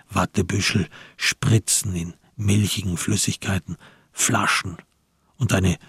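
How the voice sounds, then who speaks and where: A man narrating a literary crime radio play in a measured, deliberate and dramatic way. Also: sits low, darkish tone, breathy edge, no room sound, studio quality.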